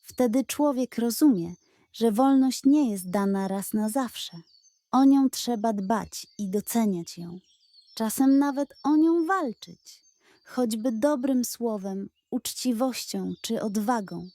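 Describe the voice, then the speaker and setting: Woman voicing a kind, slow-speaking old grandfather. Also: fairly high pitch, lively intonation, warm tone, no echo. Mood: earnest, solemn. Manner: earnest, tender, measured storytelling to a child. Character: kind old grandfather, proud former soldier, wistful and modest. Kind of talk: children's audio storybook character.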